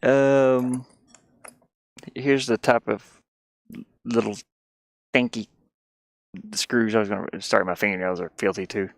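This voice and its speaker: A man's voice: lowish voice, fairly even intonation, slow pace, vocal fry, pauses often, no echo. Scattered, casual man streaming, dry close sound. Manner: scattered, self-deprecating. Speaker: live streamer. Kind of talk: casual rambling chat. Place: inside a small cluttered van.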